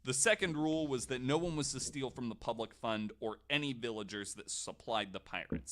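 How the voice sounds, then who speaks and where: A man's voice: on the low side, a bit bright. A man hosting a podcast, casually telling a story from notes with wry, jokey asides.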